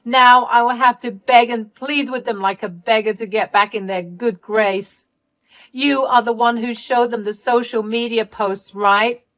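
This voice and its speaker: A woman's voice: on the high side, lively intonation, unhurried, fairly bright tone, voice pushed up. A woman voicing a spoiled, scheming younger sister in a story, whiny and indignant, with melodramatic pleading and accusing. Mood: furious.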